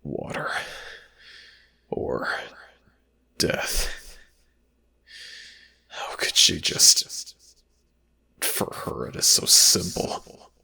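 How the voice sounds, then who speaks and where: A man playing a sorrowful male god in an ASMR audio drama, speaking in a halting, grave, pitying way with a gravelly, breathy, whispery rasp. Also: bright, forceful tone, full character voice. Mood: sorrowful, compassionate. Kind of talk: ASMR audio roleplay, dramatic internal narration.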